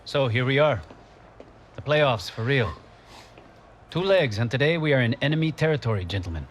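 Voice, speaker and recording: Passionate football coach, played by a man, swinging pitch. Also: lively intonation, noisy background, natural voice. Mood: stern, focused. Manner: emphatic, measured, rousing. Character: passionate, commanding football coach rallying his team. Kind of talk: dramatic team talk in a game cutscene.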